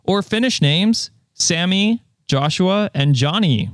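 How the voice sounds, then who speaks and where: A man's voice: high-pitched, lively intonation, slow, fairly bright tone, no room sound, clean studio sound. A young man co-hosting a podcast, reading listener reviews in a casual, laughing way with lots of "uh" and "um". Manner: laughs often, fills with "uh" and "um". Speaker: podcast co-host.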